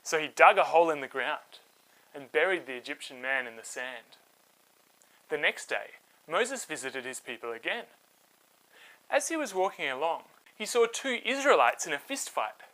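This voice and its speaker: A man hosting a story time, telling a scripted children's Bible tale in a dramatic, expressive way. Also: a little high, moderate pace, rough recording. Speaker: storyteller and host.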